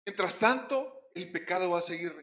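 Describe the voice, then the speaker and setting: A male pastor with a Puerto Rican accent delivers an emphatic sermon in a high, ringing voice. He swings from loud stressed words to quiet drops. The sound is roomy, with the echo of a church hall. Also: normal speed.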